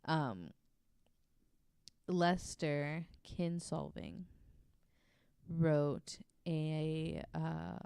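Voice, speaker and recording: A woman hosting a podcast, casually narrating a researched story from notes in an informal, halting way. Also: low voice, gravelly, warm tone, smooth, no room sound, studio quality.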